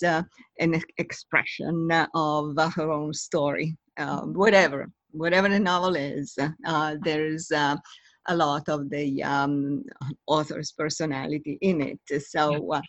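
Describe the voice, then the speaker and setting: An older woman from Italy with a raspy voice, answering interview questions in a halting, thoughtful way that often pauses mid-sentence. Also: low-pitched, dry recording. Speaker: guest, novelist and literature professor.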